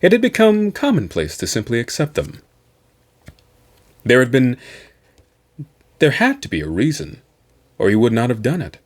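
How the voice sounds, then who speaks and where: A man reading a science fiction novel aloud as host, with a theatrical, deliberate delivery. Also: mid-range voice, huge pitch swings.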